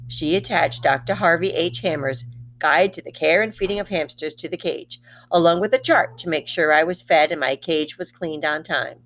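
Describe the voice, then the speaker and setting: A woman reading a children's story aloud in an expressive, animated manner. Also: low voice, moderate pace, poor audio.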